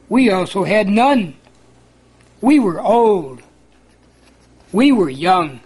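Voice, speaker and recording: A man reciting a religious poem in a measured, emphatic, sing-song way. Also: high voice, husky, poor audio. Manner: measured, emphatic, sing-song alternation between contrasting lines.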